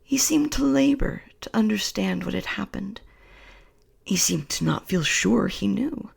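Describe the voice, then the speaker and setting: Woman narrator, soft whispered bedtime reading, husky, very slow. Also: medium pitch, lively intonation. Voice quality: breathy whisper. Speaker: storyteller.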